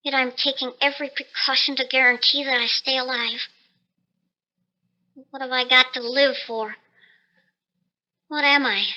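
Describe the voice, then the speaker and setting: Shrill, tiny shrunken scientist. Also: male voice, sharp tone, dull audio, exaggerated voice. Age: 30s. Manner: theatrical, self-pitying, agitated. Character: bitter, desperate shrunken research scientist, man of thirty-two. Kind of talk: 1950s radio drama monologue.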